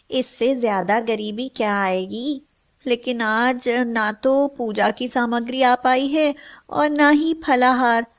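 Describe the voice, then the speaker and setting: A gentle, devout wife from a poor household played by a woman in a cartoon moral tale. Her high voice moves slowly, patient and a little plaintive. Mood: accepting. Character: poor, pious, gentle, accepting village wife. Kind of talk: moral story cartoon dialogue.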